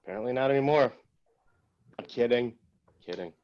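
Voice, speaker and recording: A smug, balding showrunner in his fifties, read by a man at a table read teases with mock sympathy and turns bullying. His middle-pitched voice comes through a slightly muffled recording. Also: fairly quick, natural voice. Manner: smug, mocking, then bullying.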